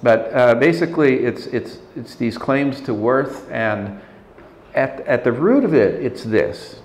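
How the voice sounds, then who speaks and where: A man in his sixties, an interview guest, talking in a measured, thoughtful way with frequent restarts in an office meeting room. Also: mid-range voice, animated, slight background noise. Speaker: interview guest, leadership consultant. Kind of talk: reflective interview talk. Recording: roomy indoor sound.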